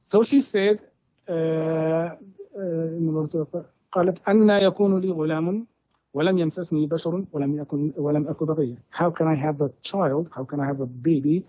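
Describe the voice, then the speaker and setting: A man tells a long story slowly, stumbling through fillers and restarts as he asks not to be cut off. His voice is soft and husky, heard over dry, dull, lo-fi call audio. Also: a little high, fairly even intonation, dry recording. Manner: halting, many fillers and restarts, pleads to finish. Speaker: debate guest. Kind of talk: retelling a scripture story in a debate.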